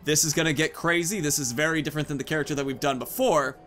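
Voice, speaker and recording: Male gaming host, thirties, slightly high voice, casual play-by-play reasoning over faint music. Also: fairly even intonation, fast talker, fairly bright tone. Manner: thinking aloud, explaining choices. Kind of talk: casual let's play commentary. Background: game music.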